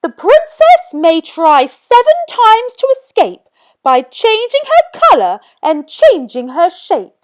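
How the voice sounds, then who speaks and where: Fairy godmother for a storybook, played by a woman: very high, loud, singsong spell-casting. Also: huge pitch swings, slow, exaggerated voice. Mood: proclaiming.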